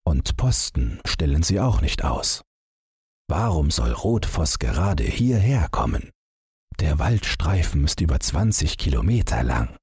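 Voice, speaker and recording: A man narrating an audiobook story, reading scripted literary prose in measured, deliberate phrases with short pauses. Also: rumbling low, a little mellow, slightly rough, no room sound, studio quality.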